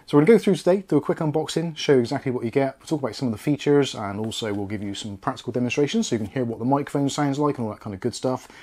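Male, 40s, British, mid-pitched everyday voice; chatty reviewer walking viewers through a product. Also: very fast, few pauses, dark tone, breathy edge. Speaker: product reviewer, channel host. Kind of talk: casual scripted-outline product review.

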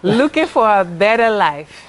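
A woman's voice: mid-range voice, big pitch swings, measured pace. A woman from the Netherlands in her thirties, a native Dutch speaker, telling her story in a casual interview. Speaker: guest, family vlogger.

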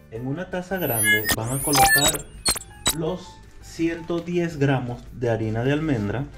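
A man's voice: fairly high pitch, sing-song, takes it slowly, pauses often. A man in his thirties hosting a home cooking video, explaining a recipe step by step in a casual way. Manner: instructive, walks viewers through steps. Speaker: cooking show host. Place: home kitchen, indoors. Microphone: handheld action camera. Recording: music playing underneath. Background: background music.

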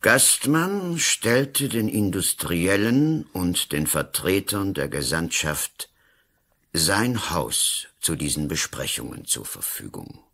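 A domineering, cynical colonel and politician in a radio drama. His voice is husky and fairly low. He lectures pompously, with big swoops of emphasis. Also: sing-song, slow, fairly bright tone, full character voice. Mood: impatient, domineering. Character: blustering, cynical male colonel and lawyer-politician. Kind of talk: German-language radio play acting.

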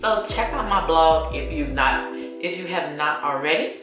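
A woman's voice: sits low, expressive, a little slow. Chatty woman in an echoey room. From Louisiana. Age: 30s. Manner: chatty, addresses viewers as y'all. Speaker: beauty vlogger. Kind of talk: casual chat while doing makeup. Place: small indoor room against a plain wall. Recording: very echoey room with music behind.

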